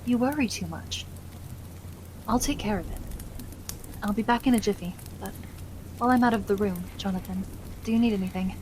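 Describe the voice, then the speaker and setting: A woman's voice: mid-range voice, fairly quick, long pauses, a little mellow, a little hiss or hum, lightly acted, low-quality recording. A woman playing a caring, genteel young Victorian wife and hostess in an ASMR roleplay, with soothing, polite, old-fashioned phrasing.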